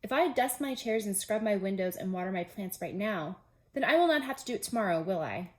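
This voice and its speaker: A woman's voice: mid-range voice, animated, fairly quick, close to an everyday voice. Woman voicing a grumbling, dramatic storybook toad. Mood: hopeful bargaining.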